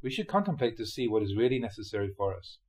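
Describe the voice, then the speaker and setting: A man with a mid-pitched voice reads Buddhist teachings from a prepared text. His phrasing is measured and deliberate, laid out in short clauses. It has the sound of a monk narrating a spiritual audiobook. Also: very animated, darkish tone. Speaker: Buddhist monk reading translated teachings aloud. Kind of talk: scripted audiobook-style reading of dharma talks.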